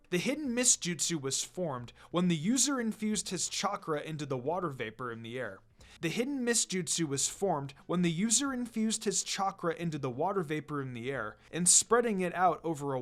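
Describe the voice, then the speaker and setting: A man reading a story aloud as a dramatic narrator, with music behind him. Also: fairly high pitch. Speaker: fan fiction reader. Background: background music.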